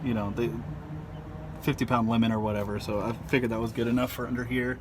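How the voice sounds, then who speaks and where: Clear background noise from outdoors and a vehicle surrounds a man with a mid-pitched voice. He talks through a hands-on job casually and without a script. His delivery is laid-back and a little hesitant, with loose, modest wording. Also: flat delivery, quick pace. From Southern California, lives there. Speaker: vlogger demonstrating a DIY truck install. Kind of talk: casual unscripted how-to walkthrough. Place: outdoors in and under a pickup truck. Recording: noisy handheld outdoor vehicle recording. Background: clear outdoor and vehicle ambience.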